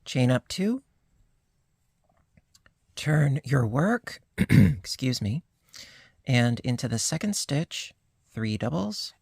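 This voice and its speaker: A crochet instructor, slightly hoarse, calmly calling out each stitch in a step-by-step tutorial. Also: medium pitch, no room sound, studio quality. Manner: calm, unhurried, talks through each stitch.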